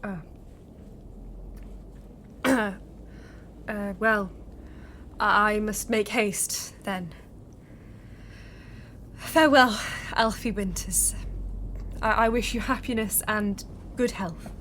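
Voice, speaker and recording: Actress as slow-speaking, earnest genteel young Englishwoman. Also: medium pitch, a bit bright, slight background noise. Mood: apologetic, nervous. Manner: formal, carefully enunciated, heartfelt. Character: earnest, genteel young Englishwoman of 1910s, romantic, independent-minded. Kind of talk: period radio drama acting, diary narration.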